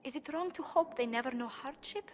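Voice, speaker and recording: A woman's voice: sits high, fairly quick, long reverb tail, natural voice. An actress playing a proud, worried young noblewoman diplomat in a video game, speaking formally, precisely and earnestly. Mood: frustrated, worried. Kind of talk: video game cutscene acting.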